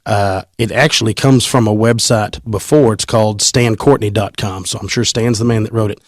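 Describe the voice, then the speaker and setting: A man, hosting a casual podcast and reading a story aloud in an animated, teasing way. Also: on the low side, normal speed, no room sound, studio quality. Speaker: podcast host.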